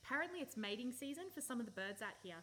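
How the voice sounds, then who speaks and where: An Australian woman playing a blunt, teasing young bird-watcher who is quick to snap, acted naturally for a radio play. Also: on the high side, lively intonation, sharp tone, rough recording. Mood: teasing, casual. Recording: live recording with faint background noise.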